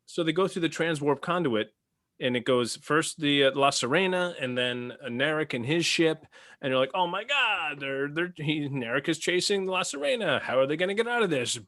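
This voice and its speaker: A man co-hosting a casual panel discussion, telling things in an animated, reactive way with casual swearing. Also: on the high side, normal speed. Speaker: podcast co-host.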